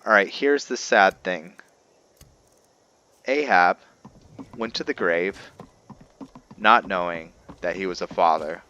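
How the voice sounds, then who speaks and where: A man hosting a roleplay playthrough with casual game commentary, halting as he thinks aloud. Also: deep voice, clean tone, lo-fi sound. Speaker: gameplay host, roleplayer. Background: soft background game music.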